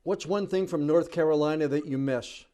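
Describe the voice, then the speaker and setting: Measured male podcast host. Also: a little high, dark tone. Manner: measured, with occasional stammered restarts. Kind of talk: interview and scripted outro.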